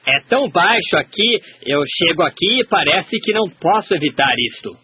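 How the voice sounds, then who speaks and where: A man reads a sermon translation in a steady, measured voice. His voice is fairly high for a man. The sound is thin and phone-like, with a faint hiss behind it. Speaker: sermon translator reading a dub. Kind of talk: read-aloud sermon translation.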